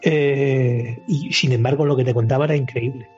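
Very husky Spanish man on a podcast. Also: mid-range voice, fairly even intonation, normal speed, a little mellow. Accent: Spain. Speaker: podcast panelist. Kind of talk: casual chat about video games. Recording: dull lo-fi audio, music behind.

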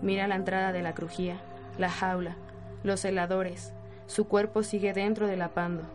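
A woman's voice: medium pitch, level tone, moderate pace, music in the background. A woman reading scripted narration for a radio drama.

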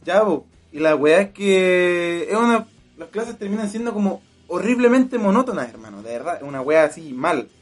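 A man's voice: sits high, animated, normal speed. Crude joking young Chilean man. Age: young adult. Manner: crude, joking, swears a lot. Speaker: podcast co-host. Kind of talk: casual chat over beers.

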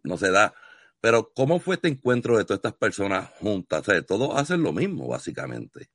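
A man's voice: on the low side, sing-song, fairly quick, fairly bright tone, husky, no echo, clean studio sound. A man from Puerto Rico with a Puerto Rican accent, interviewing a guest in a casual video chat with enthusiastic, effusive praise. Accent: Puerto Rican Spanish.

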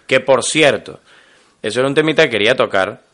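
Un hombre con acento venezolano, copresentador de un podcast, que conversa en tono informal, bromista y lleno de jerga. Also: male voice, mid-range voice, fairly quick. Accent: Venezuelan Spanish. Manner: joking, animated, full of slang. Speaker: podcast co-host. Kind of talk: casual chat.

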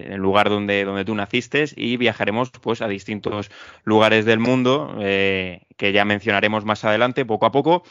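A man with a Spanish accent from Spain hosting a podcast interview, talking in a halting way, with many fillers and repeated words. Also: fairly low pitch, fairly bright tone, smooth, dry recording, muffled recording. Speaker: podcast host. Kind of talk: casual interview.

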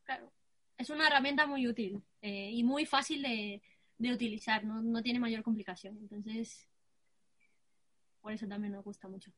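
A woman, answering interview questions with many fillers and pauses. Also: on the high side, fairly even intonation, fairly bright tone, no room sound. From Guatemala. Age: 20s. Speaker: guest rapper.

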